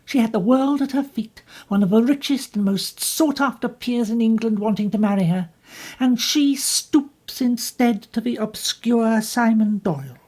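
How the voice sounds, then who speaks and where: Passionate bitter young woman, pushes every word, kinda husky, woman doing her. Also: on the high side, a little slow, darkish tone, voice pushed up. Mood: impassioned. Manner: intense, pleading, emphatic.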